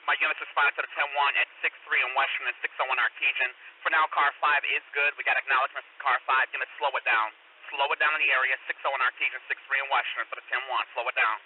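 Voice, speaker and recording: A man's voice: medium pitch, fairly even intonation, fast, hardly any pauses. An American man working as a police radio dispatcher, urgently giving repeated, commanding instructions over a two-way radio. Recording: noisy phone-like police scanner radio.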